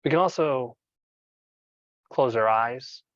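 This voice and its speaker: Male coach for guided meditation narration. Medium pitch, measured and instructional, pausing deliberately. Dry, slightly dull recording. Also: a bit bright, dry recording.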